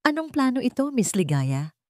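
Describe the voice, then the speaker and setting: A woman's voice: on the high side, big pitch swings, moderate pace, dry recording, studio quality, pitched above the performer's own voice. A woman voicing a composed lawyer in a story, formally questioning witnesses in court. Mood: formal, probing.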